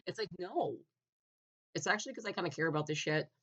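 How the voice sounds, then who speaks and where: A man giving a blunt, argumentative opinion monologue, full of "like" fillers and restarts, clearing his throat now and then. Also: high-pitched, expressive, quick pace, a bit bright, lo-fi sound. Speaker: opinion vlogger.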